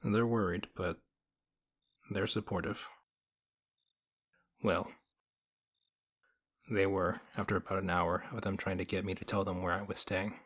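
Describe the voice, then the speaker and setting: A man playing a stoic young nerd, reserved and quietly affectionate, speaking in deadpan, measured phrases in an intimate audio roleplay. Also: deep voice, slightly rough, full character voice. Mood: subdued, matter-of-fact.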